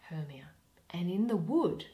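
A young woman reading a play in verse aloud, dramatically and expressively, pausing between phrases. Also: fairly low pitch, big pitch swings, warm tone. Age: young adult. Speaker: reader of a Shakespeare play. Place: small plain room indoors.

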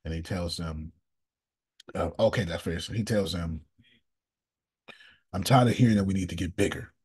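A man hosting a casual coaching call over video, animated and emphatic, with slangy, repetitive phrasing. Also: sits low, moderate pace, husky. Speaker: business coach and host.

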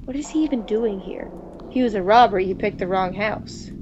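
A woman's voice: mid-range voice, moderate pace, a little mellow. A playful woman reading visual novel narration aloud between bits of casual chat, sometimes stumbling over the text. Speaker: gaming video host. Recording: muffled, noisy home microphone.

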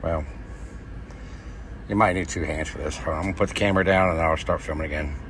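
A man's voice: rumbling low, steady tone, fast. A middle-aged American man giving a casual, plain-spoken how-to vlog from inside a truck cab. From United States. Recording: noisy truck cab, loud vehicle ambience.

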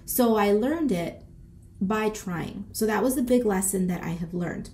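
A woman's voice: medium pitch, a little slow. A woman in her thirties chatting casually in a sassy vlog style, congested and a bit short of breath from a cold. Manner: sassy, animated, peppered with slang and swearing. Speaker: boutique owner and vlogger. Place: small decorated room indoors.

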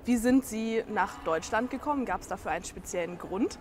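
A woman hosting a podcast, calmly asking clear interview questions. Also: medium pitch, moderate pace, a little hiss or hum. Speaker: podcast host.

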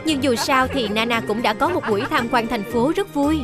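A woman narrating a cartoon dub in a gentle, motherly way, with loud music behind. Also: sits high, moderate pace, natural voice.

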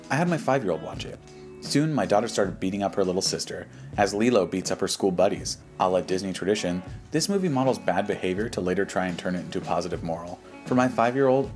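Deep-voiced American man, slangy commentary. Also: a little mellow. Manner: slangy, mocking and dismissive. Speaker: YouTube commentary host. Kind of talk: casual reaction commentary, reading posts aloud. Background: background music.

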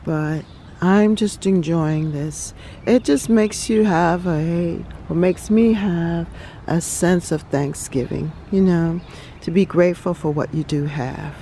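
A woman hosting a relaxed garden tour outdoors, talking reflectively with many pauses and ums. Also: lowish voice, a little hiss or hum. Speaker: garden channel host. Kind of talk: casual garden tour vlog.

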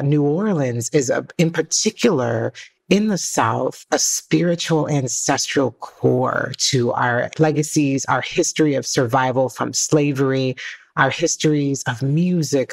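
A woman's voice: extremely low pitch, creaky. A woman organizer speaking earnestly and reflectively as an interview guest.

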